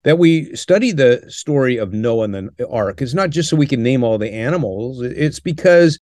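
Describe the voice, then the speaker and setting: An older man speaking in an earnest, measured way, like someone teaching, and pausing often with "uh". His voice sits at a middle pitch and is recorded dry and close, with no room sound. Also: animated, a little mellow, dry recording. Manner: earnest, measured, with frequent "uh" pauses. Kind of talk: interview answers, teaching tone.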